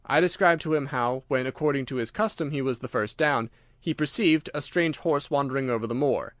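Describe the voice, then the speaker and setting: A man's voice: mid-range voice, a little fast, a bit bright, dry recording, studio quality. A man reading scripted narration of a classic detective story.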